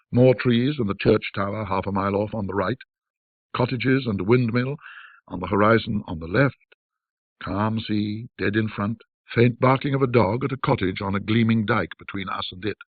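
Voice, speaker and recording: A man with a fairly low, husky voice narrates a classic ghost story. His delivery is measured and formal, in an old-fashioned literary manner. The recording is narrow and muffled. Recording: muffled band-limited audio, roomy echo.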